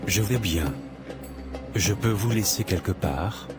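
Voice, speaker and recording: Man doing a worried young guy, very low voice, earnest, cartoon. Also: takes it slowly, over music. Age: young adult. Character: troubled young man, law student.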